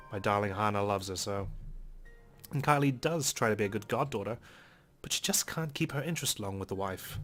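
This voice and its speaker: Male let's play host, lowish voice, reading story text aloud with casual asides, soft music underneath. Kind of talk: reading a visual novel aloud with casual commentary. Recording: faint game music behind. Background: game music.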